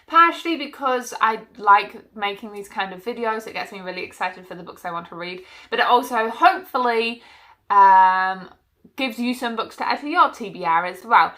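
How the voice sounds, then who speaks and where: Chatty, bright-voiced young woman, stuffy-nosed. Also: fairly high pitch, animated, normal speed. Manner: chatty and rambling, with frequent fillers and asides. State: stuffy-nosed from hay fever. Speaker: book vlogger. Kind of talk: casual chat to camera about books.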